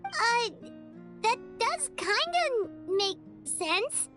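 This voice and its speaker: A woman voicing a tiny, curious, childlike female fairy companion in a video game, squeaky-voiced, over music. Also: sing-song, very slow, sharp tone, full character voice. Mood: puzzled. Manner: refers to self in third person, childlike. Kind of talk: scripted video game dialogue. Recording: music under the voice. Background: background music.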